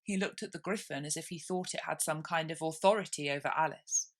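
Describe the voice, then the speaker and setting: A woman reading a classic children's story aloud as expressive scripted narration. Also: low voice, brisk. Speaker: storybook reader.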